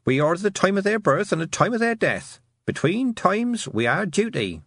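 A man voicing an old countrywoman in a storybook, a solemn grandmother with measured, old-fashioned speech. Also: high voice, very animated, a bit bright, no echo, studio quality, pitched above the performer's own voice, exaggerated voice.

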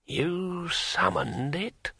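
A man voicing a dead old man in a storybook, brittle and aged, rambling conversationally in halting, drawn-out phrases. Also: sits high, gravelly, sharp tone, husky, dry recording, clean studio sound, voice pushed up, full character voice. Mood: musing, conversational. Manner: slow, halting, drawn-out delivery.

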